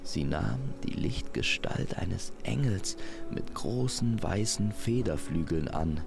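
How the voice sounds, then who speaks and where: Slow, deep male fantasy storyteller. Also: expressive, vocal fry, background noise. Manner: measured, poetic. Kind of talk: scripted fantasy narration, lyrical storytelling.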